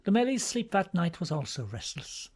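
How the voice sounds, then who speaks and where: A man's voice: high voice, big pitch swings, normal speed, darkish tone, slightly rough. A man reads a novel aloud as scripted audiobook narration.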